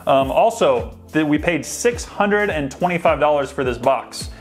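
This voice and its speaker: A man's voice: on the high side, lively intonation, measured pace, soft background music. A man hosting a sports card pack opening, chatting casually and thinking aloud as he sorts through the cards. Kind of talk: casual unboxing commentary.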